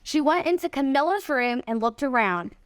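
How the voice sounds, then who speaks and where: Female storybook reader, high-pitched, expressive delivery for children, close dry sound. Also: normal speed, no echo. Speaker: volunteer reader, nonprofit executive. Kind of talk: read-aloud of a children's storybook.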